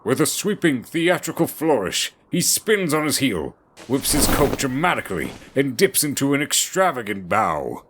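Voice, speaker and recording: A man's voice: medium pitch, very animated, normal speed, background noise. A man reading scripted fantasy story narration as the narrator of an audio drama.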